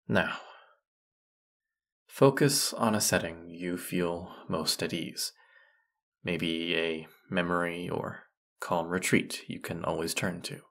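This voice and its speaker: Man acting a low, gravelly, grumpy teapot spirit. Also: a bit bright, heavily acted. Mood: bossy, exasperated. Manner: scolding, sarcastic, put-upon. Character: grumpy, haughty teapot spirit over a hundred years old. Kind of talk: scripted audio roleplay addressed to the listener.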